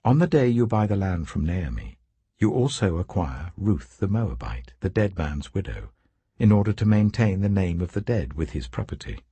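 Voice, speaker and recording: A British man voicing an earnest, authoritative landowner in a scripture reading, declamatory and deliberate. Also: sits low, sing-song, dark tone, slightly rough, no room sound, exaggerated voice. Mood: earnest, businesslike.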